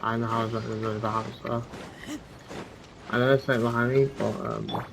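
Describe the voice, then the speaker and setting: A man's voice: medium pitch, flat delivery, normal speed, gravelly, background noise. A man streaming a game, chatting casually in a rambling, self-deprecating way. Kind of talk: casual gameplay chat. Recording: game music behind the voice. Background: game music.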